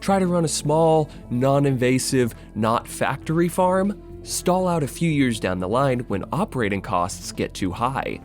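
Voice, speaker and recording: A man's voice: medium pitch, lively intonation, a little slow, hardly any pauses, a little mellow. A man narrating a scripted video essay in an emphatic, dramatic way. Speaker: video essayist and channel host. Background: music.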